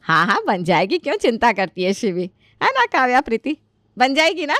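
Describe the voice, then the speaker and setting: A woman's voice: on the high side, sing-song, moderate pace, fairly bright tone, no echo, studio quality. A woman playing an older, doting mother-in-law in a family story, fussing and emphatic. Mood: reassuring. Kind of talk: acted family storytelling dialogue.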